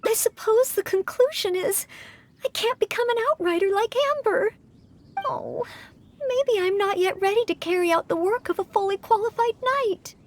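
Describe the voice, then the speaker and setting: A woman's voice: very high voice, normal speed. A woman voicing an earnest, polite, self-doubting young maid who hopes to be a knight in an anime-style video game, speaking with careful diction. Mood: dejected.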